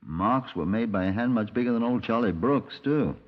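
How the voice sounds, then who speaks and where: An actor playing a methodical British police sergeant in a 1950s radio crime drama, with a measured, formal, dry manner. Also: male voice, medium pitch, expressive, natural voice. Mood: probing, businesslike. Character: male police sergeant detective narrating his case, methodical. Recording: dull 1950s radio recording.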